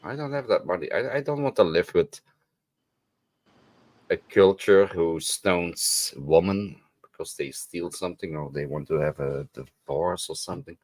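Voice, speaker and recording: A man's voice: low voice, unhurried, darkish tone, no room sound. A man from Belgium streaming live, blunt and argumentative, often repeating himself. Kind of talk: casual live-stream chat.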